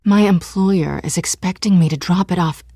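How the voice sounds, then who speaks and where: A sly, self-assured American female cat burglar for a video game. She speaks on the low side with heavy vocal fry, teasing and cynical, in a close dry recording. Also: moderate pace, dark tone, no echo. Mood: sly, amused. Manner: teasing, sardonic.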